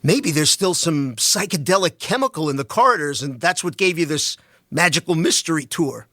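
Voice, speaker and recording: A man's voice: on the high side, lively intonation, moderate pace, bright, forceful tone, no room sound, heavily acted. A man playing a curious, joking married teacher in a dramatic reading, with an inquisitive, wry manner. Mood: wry, teasing.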